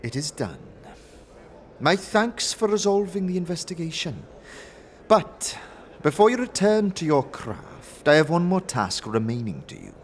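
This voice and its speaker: A man playing a grave faction leader in a fantasy audio drama, speaking in a measured, formal manner. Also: fairly high pitch, sing-song, slight background noise, pitched above the performer's own voice. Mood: grave, urgent.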